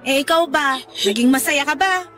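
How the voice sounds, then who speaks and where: A woman's voice: high voice, normal speed, bright, forceful tone, soft background music. A woman acting a frank, protective young best friend in a Tagalog radio drama, emphatic and reproving. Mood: exasperated.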